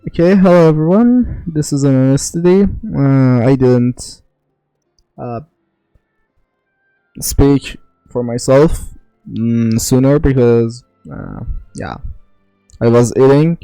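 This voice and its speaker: A man streams casual game commentary at a very slow, hesitant pace, sounding congested. His voice is smooth and close in a dry space, with faint music behind. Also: mid-range voice, mellow, no echo, rough recording. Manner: halting, drawn-out, hesitant phrasing. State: congested nose, coughing. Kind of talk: casual let's-play commentary.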